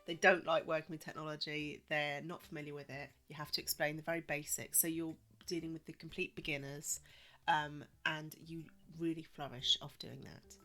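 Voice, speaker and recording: A woman talks through her solo podcast in a lowish, slightly wheezy voice, still getting over a cold. She's chatty and reassuring, with plenty of ums. Faint music sits under her voice. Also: flat delivery, moderate pace, sharp tone. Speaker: podcast host.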